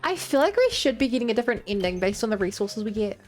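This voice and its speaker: Twenty-something girl streamer goofing around with chat, kinda high voice, game music going. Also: big pitch swings, fast talker. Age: 20s. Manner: rambling, joking, talks to chat. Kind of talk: casual gameplay commentary.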